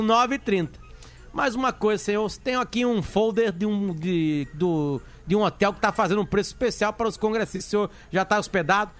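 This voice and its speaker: A high-pitched man tells a joke on a live stream. He plays both parties in a back-and-forth with a light, playful delivery. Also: a bit bright. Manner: playful, acts out both sides of a joke dialogue. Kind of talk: casual joke telling.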